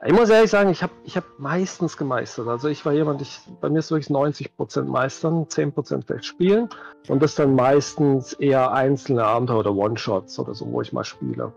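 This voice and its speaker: A man's voice: medium pitch, brisk, vocal fry, darkish tone, dull audio. A middle-aged man chatting casually and reflectively as an interview guest on a video call, often hedging as he thinks. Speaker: interview guest, tabletop game master. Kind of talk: casual chat. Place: home room, indoors, on a video call. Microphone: headset. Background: faint music.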